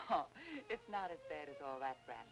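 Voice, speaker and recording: An actress playing a wistful, romantic young widow in 1940s film noir style, dreamy and lightly ironic. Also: female voice, deep voice, expressive, moderate pace. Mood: light, amused. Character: wistful, romantic young widow, gently wry older sister. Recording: old film soundtrack with music underneath.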